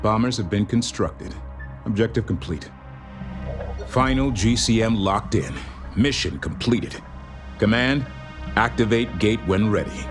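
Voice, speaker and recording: A composed fleet intelligence officer speaks in a lowish register over clear music. He is clipped, official and authoritative, issuing sci-fi game briefings in a matter-of-fact way. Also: expressive, measured pace, long pauses. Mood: businesslike, focused. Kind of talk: scripted game dialogue and mission briefing. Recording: music behind the voice.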